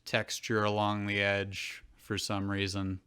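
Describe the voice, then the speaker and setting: Guy co-host doing casual funny commentary, bright crisp voice. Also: fairly low pitch, monotone, slow. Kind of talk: casual comic riffing.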